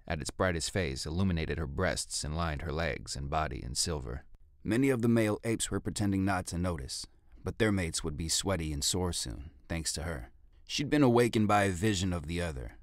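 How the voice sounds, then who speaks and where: Deep, dramatic male audiobook narrator. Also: dry recording, clean studio sound. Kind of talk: dramatic scripted fiction narration.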